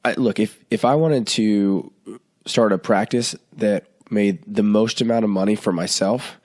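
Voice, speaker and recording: A man's voice: lowish voice, a little slow, a little mellow. A man in his thirties hosting a podcast, talking casually with plenty of fillers, his voice stuffy from a head cold. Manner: chatty, loose, many fillers and restarts. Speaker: podcast host.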